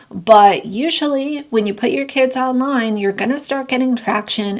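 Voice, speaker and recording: A woman with a congested, nasal voice, giving blunt, sarcastic commentary and reading news excerpts aloud. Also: medium pitch. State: congested, getting sick. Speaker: commentary youtuber. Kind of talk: opinionated commentary.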